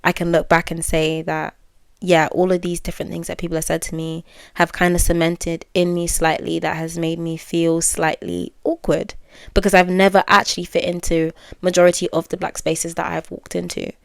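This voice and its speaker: Low, flat-voiced young woman. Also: normal speed, gravelly, no echo, studio quality. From United Kingdom. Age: 20s. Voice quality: well-spoken. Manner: candid, musing, many fillers and self-corrections. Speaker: podcast host. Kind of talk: reflective solo podcast monologue.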